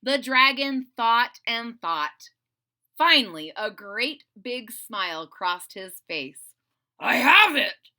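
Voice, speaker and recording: A woman reads a children's story aloud. Her voice is high and bright with lively swings in pitch, and she talks to young listeners in an expressive, conversational way. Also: slow pace. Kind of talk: animated children's read-aloud with casual asides.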